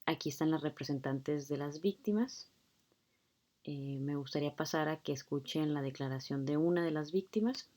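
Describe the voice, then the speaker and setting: Woman lecturer, deep for a woman, conversational explainer with fillers. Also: moderate pace, a little mellow. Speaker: law lecturer. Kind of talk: explaining a court hearing video.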